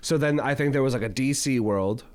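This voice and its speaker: A man in his twenties talks through a casual podcast conversation, circling his point and leaning on "like" at every turn. His voice sits at an ordinary middle pitch, and his manner is easygoing and unpolished. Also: fairly quick, darkish tone. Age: 20s. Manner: rambling, heavy use of "like" fillers. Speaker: podcast co-host. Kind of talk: casual chat.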